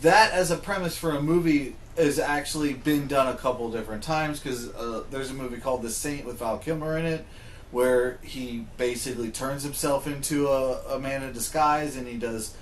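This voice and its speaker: A man talks as a podcast co-host in an explanatory, conversational way, circling back to restate his points. His voice sits at a medium pitch in an echoey room with faint noise behind it. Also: normal speed. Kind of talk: casual chat about games and films. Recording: roomy echo, faint background noise.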